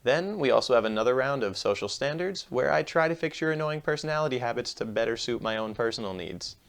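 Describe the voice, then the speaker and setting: Gravelly deadpan comedy host, man. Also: mid-range voice, fast talker. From United States. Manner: deadpan, self-mocking jokes. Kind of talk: scripted comedy monologue.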